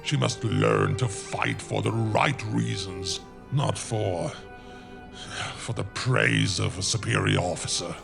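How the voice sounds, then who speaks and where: A man acts out a cold, commanding father with a very gravelly, low voice. He speaks slowly and formally, like an officer who will not bend, in an animated comic dub over clear music. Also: full character voice. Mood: stern, dismissive. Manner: formal, clipped, unyielding. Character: stern, cold military father and business boss. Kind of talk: animated comic fandub acting.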